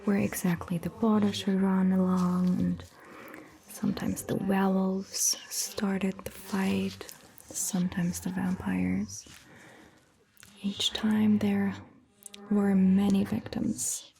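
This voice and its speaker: A woman playing a calm, attentive vampire doctor in a soft, breathy ASMR roleplay whisper, with measured, gentle phrasing. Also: medium pitch, a little hiss or hum, rough recording. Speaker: ASMR performer.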